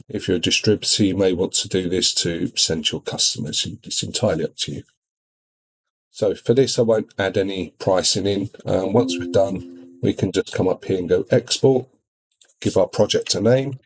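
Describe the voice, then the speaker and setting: A man demonstrating software in an informal tutorial, explaining things step by step. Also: low voice, poor audio. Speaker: product demonstrator.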